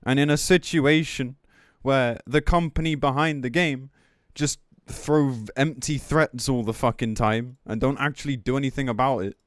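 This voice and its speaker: A man's voice: medium pitch, steady tone, normal speed, hardly any pauses, dry recording, clean studio sound. A man speaking as a game streamer in a casual livestream rant, blunt, sweary and exasperated as he reads and reacts. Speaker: game streamer commenting on news.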